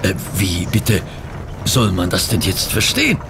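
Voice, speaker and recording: An actor playing a fussy, incredulous gentleman sidekick in a radio play, sputtering and exclaiming indignant questions. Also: sits low, huge pitch swings, a little slow, vocal fry, background noise, exaggerated voice. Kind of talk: German radio play acting.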